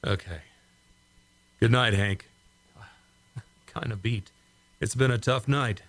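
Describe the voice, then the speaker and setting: A man's voice: fairly low pitch, slow, no room sound. A man acting a shaken, exhausted test pilot in an amateur radio play. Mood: tired.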